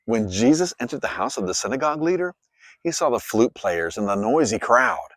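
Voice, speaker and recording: A man narrates scripture like a dramatic story. His pitch is mid-range and moves widely, with clear phrasing. The sound is dry, with hardly any room. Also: sing-song, a little fast, fairly bright tone, no room sound. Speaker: Bible narrator.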